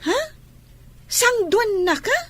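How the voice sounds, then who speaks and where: An anxious young big sister in an Ilocano radio play. The voice is extremely high and childlike, with a plaintive, protective way of talking. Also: female voice, expressive, slow pace, a bit bright, no room sound, exaggerated voice. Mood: concerned, protective. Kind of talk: Ilocano radio comedy drama acting.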